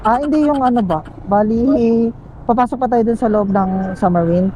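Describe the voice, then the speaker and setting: A woman's voice: fairly high pitch, moderate pace, warm tone, highs cut off. A woman with a Filipino accent chatting casually and jokingly with a passenger as a motorcycle taxi rider and vlogger. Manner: joking, teasing. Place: on a motorcycle on the street. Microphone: helmet intercom headset. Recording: clear traffic and engine noise.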